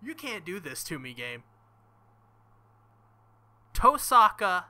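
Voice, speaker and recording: Young male let's-play host; bright, slightly high, excitable and crude. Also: slow. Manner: excitable, swings to loud outbursts; profane, joking. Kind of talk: visual novel playthrough with commentary.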